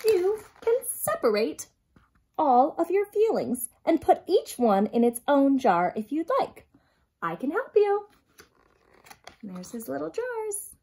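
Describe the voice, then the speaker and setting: A woman in her thirties reading a picture book aloud to young children in an expressive, dramatic way, stressing key words and addressing her listeners directly. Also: high voice. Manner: dramatic gasps and emphatic, drawn-out key words. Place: small furnished room at home, indoors.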